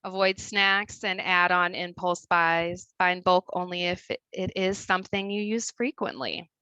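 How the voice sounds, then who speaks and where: A woman with a Midwestern accent walks through her slides as a dietitian, chatty and full of fillers. Her voice is bright and crisp, sitting a little low, and the sound is dry and close. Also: unhurried, no room sound, poor audio. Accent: Midwestern American. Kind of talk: webinar presentation. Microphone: video call.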